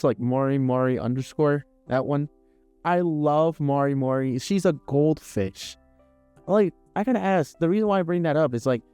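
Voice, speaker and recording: A man's voice: high voice, mellow, no room sound. A young man chatting casually on a live stream while crafting, rambling, laughing and swearing as he reads chat aloud. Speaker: arts and crafts livestreamer.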